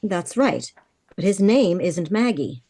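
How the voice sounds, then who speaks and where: Casting: female actor as composed American woman of mystery, soft dark tone, slightly low pitch, earnest, measured, old-time radio style. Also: very animated, breathy edge, full character voice. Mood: guarded, serious. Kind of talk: vintage radio drama acting.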